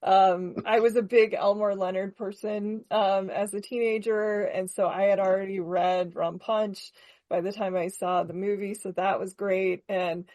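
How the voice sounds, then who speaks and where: A woman's voice: mid-range voice, fairly even intonation, measured pace, darkish tone, dry recording. A woman in her forties hosting a film podcast, candid and chatty, laughing often. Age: 40s. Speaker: podcast host. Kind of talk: casual film podcast chat.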